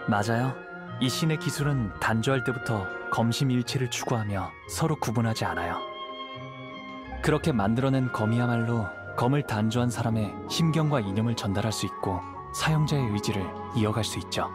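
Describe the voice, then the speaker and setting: A man plays a young game samurai in a mid-pitched voice. He is composed and courteous, explaining things thoughtfully, while music plays clearly behind him. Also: fairly quick, close to an everyday voice. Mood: composed, serious. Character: young wandering male samurai, calm, polite, thoughtful. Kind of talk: video game voice acting.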